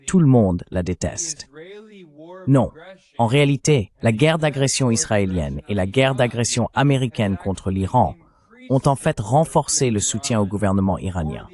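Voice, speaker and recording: A man's voice: fairly low pitch, a little mellow. A man delivering political commentary as an analyst, in an emphatic, argumentative way.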